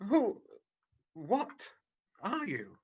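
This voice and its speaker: A woman's voice: on the high side, wildly swooping pitch, very slow, darkish tone, husky, full character voice. A woman playing a frightened, bewildered old miser in a radio play, stammering and hesitant. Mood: wary questioning. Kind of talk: radio play rehearsed reading over video call. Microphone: video call. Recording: dull, lo-fi video call audio.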